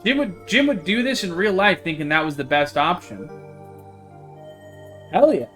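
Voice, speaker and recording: A man's voice: sits high, lively intonation, normal speed, fairly bright tone. A man streaming a game live, reading it aloud and reacting with exclamations and some swearing. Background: game music.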